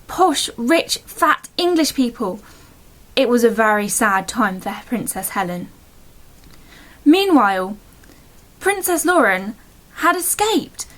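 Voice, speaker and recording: A woman narrating a bedtime story in a playful, mock-solemn storybook manner. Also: sits high.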